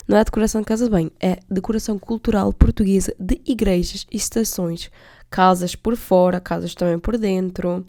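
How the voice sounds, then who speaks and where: A woman's voice: medium pitch, vocal fry, darkish tone, dry recording, studio quality. A woman in her twenties narrating her travel vlog in voice-over, playful and joking as she talks straight to viewers. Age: 20s. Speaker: vlogger narrating.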